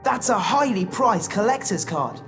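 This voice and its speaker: A man's voice: high-pitched, animated, unhurried. An actor playing a sly teenage boy wizard shopkeeper in a video game. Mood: sales patter. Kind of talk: video game character acting. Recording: loud game music behind.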